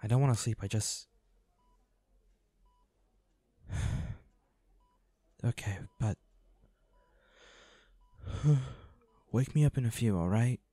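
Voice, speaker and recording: A man playing a protective, defiant hunter boyfriend in an intimate roleplay audio drama, speaking unhurriedly with sighs and pauses. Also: medium pitch, mellow, dry recording. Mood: tender, weary. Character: protective, defiant boyfriend, a monster hunter, tender but ruthless.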